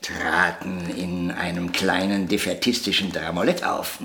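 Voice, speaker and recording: An actor playing a proud, prickly old puppeteer in a radio drama, telling anecdotes theatrically and emphatically. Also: male voice, low-pitched, level tone, unhurried, fairly bright tone, slight background noise. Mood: sly, reminiscing. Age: older.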